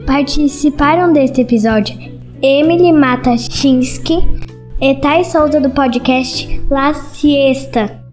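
A woman's voice: high voice, slow pace. A woman reading a scripted credits announcement. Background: loud guitar music.

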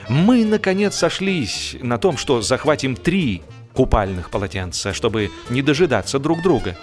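Expressive male storyteller over music. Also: lowish voice. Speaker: audiobook narrator. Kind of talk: expressive humorous literary narration.

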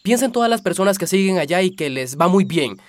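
Restless youth, determined, stubborn, higher voice, radio drama. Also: male voice, animated, quick pace, no echo. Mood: insistent.

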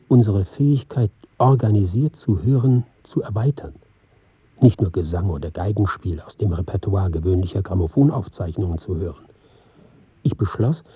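Earnest, husky, low-voiced male film pioneer. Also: no echo, highs cut off. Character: earnest, reflective male film pioneer recounting his experiments. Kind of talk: scripted radio drama monologue, read as lecture.

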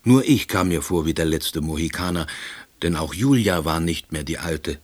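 A man's voice: rumbling low, animated, moderate pace, darkish tone, exaggerated voice. An actor playing a wry, middle-aged former official, telling his own story in dry, wordy scripted narration. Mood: dry, reflective. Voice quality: husky. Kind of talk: scripted first-person narration.

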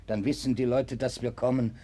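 A man's voice: medium pitch, steady tone, normal speed, dark tone, breathy edge, natural voice. An actor playing a grave, dutiful working father in a 1960s German radio play, speaking plainly and soberly. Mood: grave, protective.

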